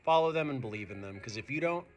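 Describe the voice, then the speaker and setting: A man who is a teacher reading a picture book aloud to young students, expressive and speaking to them directly. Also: medium pitch, fast, faint music behind. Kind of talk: animated children's read-aloud.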